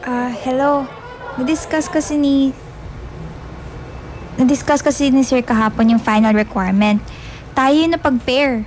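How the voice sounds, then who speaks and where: A woman's voice: high-pitched, fairly even intonation, a little slow, long pauses, darkish tone, a little hiss or hum, poor audio. A woman playing an earnest, scolding young female student in a radio drama, speaking with a Filipino accent and mixing in English. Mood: polite, composed. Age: young adult. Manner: indignant, mixes Tagalog and English. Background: faint music.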